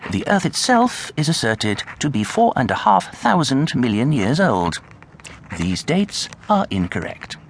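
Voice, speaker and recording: A British actor playing a bookish, fussy angel who lectures pedantically and wryly in a radio drama. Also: male voice, mid-range voice, a little hiss or hum. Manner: pedantic, hesitant, wry lecturing delivery. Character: bookish, fussy, donnish angel and part-time book dealer.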